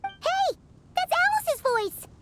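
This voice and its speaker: Perky fairy sidekick for anime game: extremely high, childlike, swooping, exclamatory. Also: female voice, sing-song, slow pace, a bit bright, soft background music, exaggerated voice. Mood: surprised. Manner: speaks of self in third person, exclamatory. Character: small childlike female fairy companion, perky, impatient.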